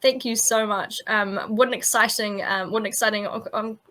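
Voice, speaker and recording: A woman's voice: mid-range voice, fairly even intonation, normal speed, bright, forceful tone. A woman hosting an interview, introducing and welcoming her guest with enthusiastic praise and frequent ums. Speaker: podcast host.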